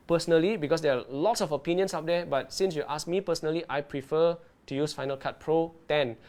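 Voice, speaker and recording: A man presenting live to online viewers, his voice sitting a little higher than most men's. He explains things in a lively, chatty way and keeps checking in with his viewers as he goes. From Malaysia. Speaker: underwater video presenter. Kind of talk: live-streamed tutorial and Q&A.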